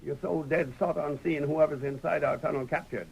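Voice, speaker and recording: Very gravelly old prospector. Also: male voice, on the high side, fairly even intonation, fairly quick, a little mellow, rough recording. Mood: suspicious, challenging. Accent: American. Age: older. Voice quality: gravelly, husky, rough. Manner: folksy frontier slang. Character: gruff, wary old male prospector of the Old West. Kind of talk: 1930s American radio western acting. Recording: vintage 1930s radio recording.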